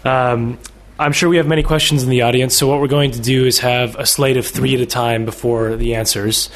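A man chairing a public lecture, politely and briskly calling on the audience and keeping questions on track. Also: medium pitch, fairly even intonation, a little hiss or hum. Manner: polite, brisk, directing the floor. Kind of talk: moderating a question session. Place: lecture hall.

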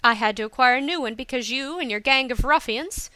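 Woman narrator as young tea-house woman, high-pitched, crystal clear, scolding, indignant, emphatic, storybook. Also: brisk, no room sound. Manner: indignant, emphatic, sharp-tongued.

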